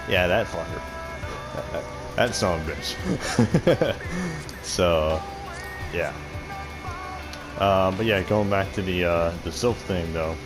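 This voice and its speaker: A man with a deep voice casually commenting on a game and reading quest text, rambling and swearing freely. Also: poor audio. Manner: profane, rambling, laughs often. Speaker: gaming video host.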